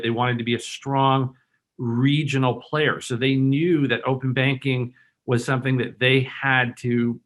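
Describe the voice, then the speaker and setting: A man's voice: mid-range voice, fairly bright tone. Bank executive panelist, male, who grew up in Hong Kong: earnest, persuasive, stop-start delivery. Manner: earnest, persuasive, many restarts and fillers. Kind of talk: webinar panel discussion.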